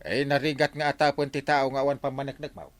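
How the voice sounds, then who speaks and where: A man's voice: mid-range voice, little pitch movement, fast, dry recording, close to an everyday voice. A man with a Filipino Ilocano accent playing a fair-minded, skeptical village captain in a colloquial comedy drama. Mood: skeptical, reasoning. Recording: faint music bed.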